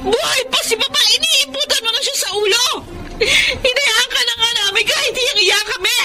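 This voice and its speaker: High-voiced, impassioned Filipino daughter. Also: female voice, normal speed. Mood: furious, tearful. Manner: tearful, scolding, impassioned. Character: outraged adult daughter shaming her sinful mother. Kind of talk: Filipino radio drama acting. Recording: dramatic music behind the voice.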